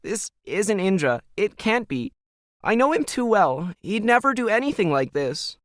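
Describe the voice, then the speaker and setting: Man voicing a high-pitched, distraught teenage girl. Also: big pitch swings, unhurried, a bit bright, no room sound, clean studio sound, full character voice. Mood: tearful protest. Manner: tearful, protesting. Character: distraught teenage daughter, earnest and pleading. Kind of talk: audio fiction character dialogue.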